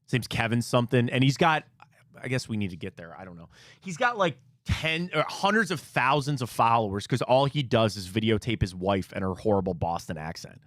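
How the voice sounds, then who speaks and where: A man with a Midwest Cleveland accent, hosting a casual sports talk show in a studio, animated and opinionated, rambling with restarts. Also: mid-range voice, a little fast, no room sound.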